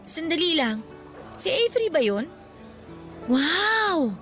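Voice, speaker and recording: A woman's voice: very high voice, very animated, slow, a bit bright, soft background music, heavily acted. An actress playing a young female student in a radio drama, flustered and evasive, scolding her boyfriend playfully. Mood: defensive. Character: shy, secretive young female student girlfriend.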